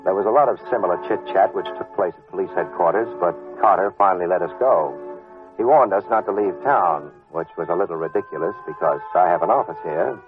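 An actor playing a wisecracking, gruff but decent private detective in a 1940s radio drama, talking in sardonic slang. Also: male voice, low-pitched, fairly quick, music in the background, close to an everyday voice. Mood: wry narrating. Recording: muffled old radio drama recording.

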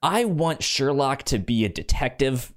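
Casual male podcast host, mid-pitched voice. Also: animated, a little slow.

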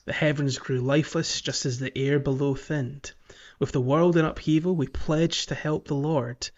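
A man's voice: a little high, steady tone, moderate pace. A man co-hosting a podcast, chatting casually about a video game, recorded on a slightly muffled mic. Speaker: podcast co-host.

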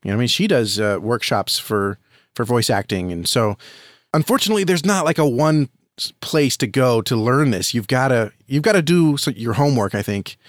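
A man's voice: mid-range voice, animated, normal speed, dry recording, studio quality. A man speaking as an interview guest, giving earnest, explanatory advice in a conversational way. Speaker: podcast guest, audio drama creator and reviewer.

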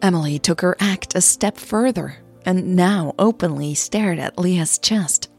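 A native Dutch-speaking woman with a husky voice, narrating a scripted podcast in a measured way. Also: on the low side, faint music behind. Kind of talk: scripted audio story narration.

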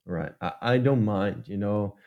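A man's voice: on the low side, measured pace, highs cut off. A man from the Netherlands with a Dutch accent, co-hosting a casual advice chat and talking hesitantly with many fillers and restarts. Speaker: podcast co-host.

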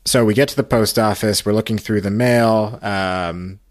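A man's voice: fairly low pitch, steady tone, normal speed, dry recording, clean studio sound. An American man hosting a podcast, chatting casually through a recap. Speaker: podcast host.

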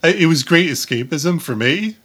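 A man interviewing casually as a podcast host, in a halting way, often rephrasing as he goes. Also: on the high side, animated, a bit bright, husky.

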